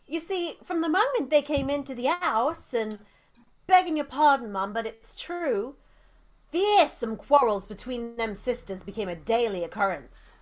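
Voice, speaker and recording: Woman acting a high-voiced housemaid. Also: animated, unhurried. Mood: nervous, confiding. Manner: hesitant, chatty, deferential. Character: deferential, gossipy working-class English housemaid. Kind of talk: radio drama acting.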